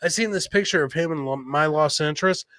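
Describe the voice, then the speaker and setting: Male podcast host, bright punchy voice on the higher side, slangy hype-man delivery, loose swearing, dry close sound. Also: brisk, dry recording. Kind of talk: casual chat with guests.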